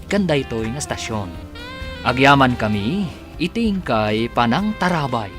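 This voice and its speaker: A man's voice: medium pitch, sing-song, measured pace, over music. A man narrating and announcing a radio drama in a scripted, dramatic style.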